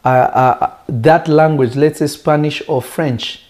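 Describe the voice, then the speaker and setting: A man who is a native Lingala speaker, preaching in a conversational teaching style with emphatic, deliberate phrasing and rhetorical questions. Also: on the high side, a little mellow.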